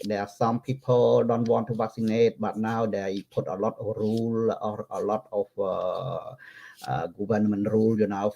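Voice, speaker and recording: Guest, middle-aged man, French-accented English, somewhat low, stop-start delivery. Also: vocal fry, a little mellow, highs cut off. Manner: halting, restarts phrases, laughs often. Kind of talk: casual video-call chat.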